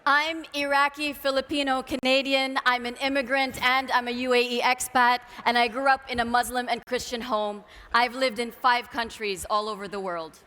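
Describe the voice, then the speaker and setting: A woman's voice: a little high, fairly even intonation, normal speed, hardly any pauses, bright, forceful tone, clean tone. A woman who co-founded a podcast, speaking on an event stage in a playful, emphatic way. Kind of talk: stage talk presentation. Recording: long hall reverb.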